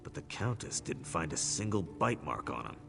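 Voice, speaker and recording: Gravelly, low-voiced blunt warrior. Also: male voice, sing-song, moderate pace, music in the background, full character voice. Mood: grim, determined. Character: blunt, battle-weary male fighter itching for action. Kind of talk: scripted video game dialogue.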